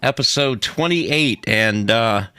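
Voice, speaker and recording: Bright-voiced male podcast host, dry close sound. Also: medium pitch, animated, takes it slowly, clear, resonant tone, dry recording. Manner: crude, joking. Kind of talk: casual comedic banter.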